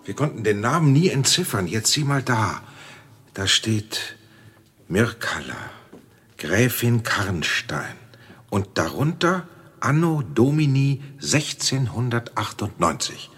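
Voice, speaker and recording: An actor playing a courteous, excitable older general and father in a radio drama, with a husky voice. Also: mid-range voice, slow, heavily acted. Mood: explaining. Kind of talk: scripted German radio drama acting.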